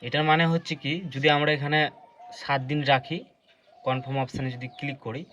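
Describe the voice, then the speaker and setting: A man's voice: mid-range voice, fairly bright tone. A man presenting an unscripted step-by-step phone tutorial, explaining things repetitively and addressing viewers directly. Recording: dull lo-fi audio with faint background noise.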